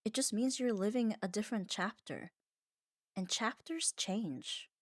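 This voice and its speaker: Female, mid pitch, calm and reassuring ASMR delivery, unhurried, very dry close sound. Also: no room sound. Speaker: ASMR audio creator. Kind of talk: calm ASMR comfort monologue.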